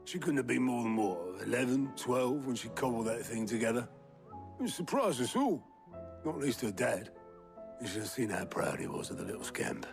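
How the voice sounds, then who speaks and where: A British actor playing a gruff, wry middle-aged man in a fantasy video game, blunt and colloquial. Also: mid-range voice, animated, moderate pace. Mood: fondly exasperated. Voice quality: gravelly, creaky. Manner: blunt, colloquial, dryly teasing. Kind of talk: cinematic video game acting. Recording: music behind the voice.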